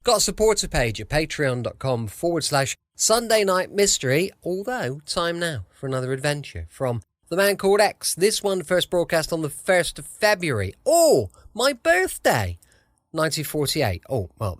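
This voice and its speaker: A man's voice: a little high, big pitch swings, normal speed, a bit bright, no echo, studio quality. A man hosting a podcast in a casual, chatty, rambling way. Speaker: podcast host. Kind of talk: casual chat. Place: home, close dry sound.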